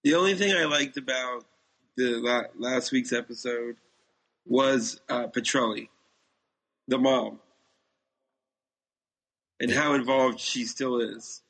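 A man's voice: medium pitch, slow, long pauses, fairly bright tone, breathy edge, low-quality recording. Man, medium register, podcast co-host; informal chat, plainspoken and opinionated.

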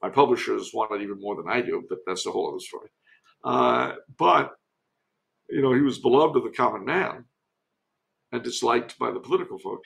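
A man talking conversationally as a learned guest commentator on history, in a discursive, halting way. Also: medium pitch, creaky, a bit bright. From United States. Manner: discursive, erudite, halting delivery. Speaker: podcast guest, author and commentator.

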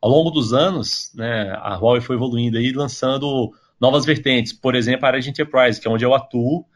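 A man's voice: mid-range voice, fairly quick. Rambling Brazilian man on a podcast. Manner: rambling, many tag questions and fillers. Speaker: interview guest, tech company employee. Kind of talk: casual podcast interview.

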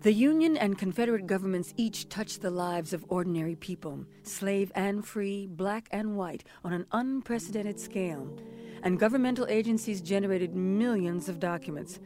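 A woman narrator with a very mellow, relaxed tone and medium pitch. She reads a scripted history in deliberate, formal phrases.

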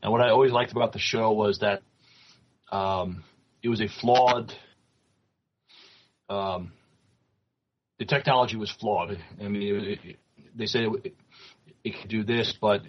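Husky low-voiced man, halting, slightly dull audio. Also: fairly even intonation. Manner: halting, stumbles and repeats words. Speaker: podcast co-host. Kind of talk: casual podcast chat and news reading.

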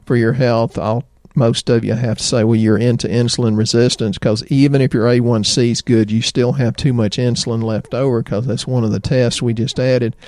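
A middle-aged man with a Southern American accent, a doctor chatting in a folksy, rambling way about health, his voice slightly hoarse. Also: mid-range voice, level tone, normal speed, few pauses, a little mellow, no echo. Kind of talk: health talk show chat.

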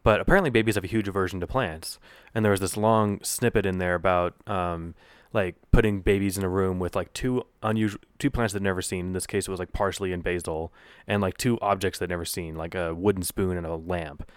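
A man with a very low voice, chatting as a podcast co-host. He rambles in a relaxed, amused way. The sound is dry and close. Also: fairly quick, few pauses, smooth, no echo. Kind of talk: casual discussion.

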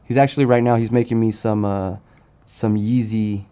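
Low-pitched man chatting casually. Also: fairly even intonation, moderate pace, warm tone, smooth. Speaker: podcast guest, sneaker customizer. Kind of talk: casual interview chat.

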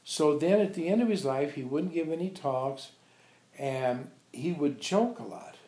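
A man's voice: on the high side, animated, a little slow, dark tone. An older man informally telling anecdotes to a small group, animatedly acting out the dialogue he quotes. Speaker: monk and abbot recalling his teacher. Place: echoey room with a few visitors. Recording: echoey room recording.

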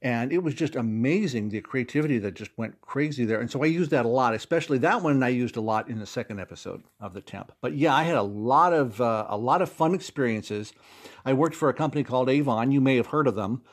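A man's voice: mid-range voice, fast talker. A middle-aged man with an American accent, chatting as an interview guest and telling anecdotes with emphatic stresses. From Fort Wayne, Indiana, United States. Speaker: interview guest, audio drama creator and stage actor.

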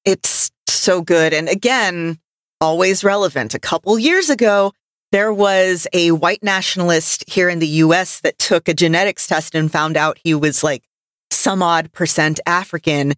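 A woman, probably in her thirties, co-hosting a podcast and reflecting casually in thoughtful, halting phrases. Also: fairly low pitch, no room sound, studio quality. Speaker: podcast co-host. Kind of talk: reflective casual review discussion.

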